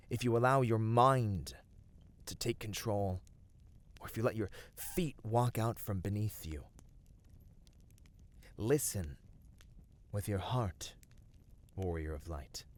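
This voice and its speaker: A man playing a gentle, caring companion of a fantasy hero in a scripted ASMR roleplay, speaking soothingly and earnestly in formal phrasing. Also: mid-range voice.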